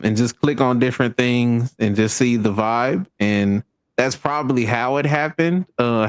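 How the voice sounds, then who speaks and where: A man's voice: medium pitch, dry recording. Southern American man chatting, dry close sound. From Arkansas, United States. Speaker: guest, video game streamer. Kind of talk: casual interview chat.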